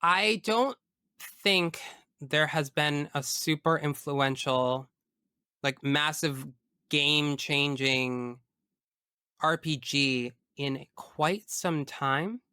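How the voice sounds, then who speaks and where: A man with a bright, crisp voice talks casually as a podcast guest. He is opinionated and emphatic and swears freely. The recording is dry and close. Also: on the high side, extremely slow, no room sound. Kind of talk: casual chat about video games.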